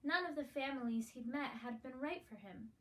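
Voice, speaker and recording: High pitched female voice reading a bunny-type picture book for little kids. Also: normal speed. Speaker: guest reader, reading with her mother. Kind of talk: children's picture book read-aloud.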